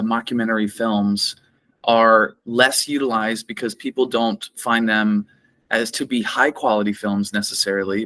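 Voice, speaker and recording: Bright-voiced man interviewing a filmmaker. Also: fairly low pitch. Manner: earnest, repeats words while forming questions. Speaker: festival director and interviewer. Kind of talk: interview questions.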